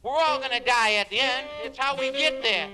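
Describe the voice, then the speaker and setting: A man's voice: very high voice, moderate pace, over music. A man playing a fervent beat writer, delivering a dramatic, emphatic spoken-word monologue about his craft. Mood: fervent, defiant. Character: fervent beat-generation male writer reminiscing and preaching on writing.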